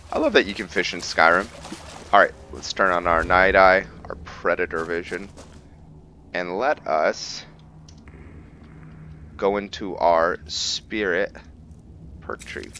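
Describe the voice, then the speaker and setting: A man doing casual commentary as he plays a roleplaying game, musing aloud in a halting way about his character. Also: low-pitched, steady tone, sharp tone, a little hiss or hum. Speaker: gamer hosting a roleplay playthrough.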